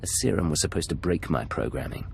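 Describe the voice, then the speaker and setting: Guarded game secret agent: extremely low, creaky, terse and controlled, faint ambience. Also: male voice, lively intonation, quick pace, slight background noise. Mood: grave, resolute. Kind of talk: video game voice acting.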